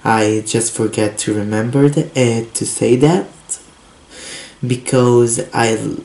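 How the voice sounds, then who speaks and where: A teenage boy from Israel, a native Hebrew speaker, talking in halting English with a Hebrew accent and many fillers while showing his laptop. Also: mid-range voice, creaky, mellow. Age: teens. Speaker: tech vlogger. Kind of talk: casual unboxing and review vlog. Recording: roomy echo, faint hiss.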